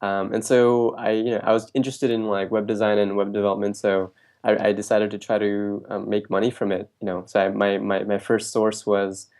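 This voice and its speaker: A young man raised in New Jersey, a guest answering questions in a relaxed way, with frequent fillers and restarts. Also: fairly low pitch, fairly quick. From Bangladesh, raised in New Jersey. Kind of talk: casual interview.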